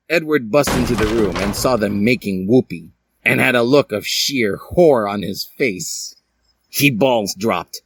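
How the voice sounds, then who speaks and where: A man's voice: fairly low pitch, fairly bright tone, slightly rough, no room sound. A man reading fan fiction aloud as a dramatic, comic storyteller with a theatrical manner. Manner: theatrical, puts on character voices.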